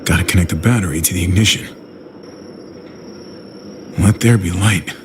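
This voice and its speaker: A man acting as a weary, protective survivor of a zombie apocalypse. His voice is low and he talks in an understated, natural way, as in a cinematic game, over clear engine noise. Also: moderate pace, natural voice. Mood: focused, reassuring.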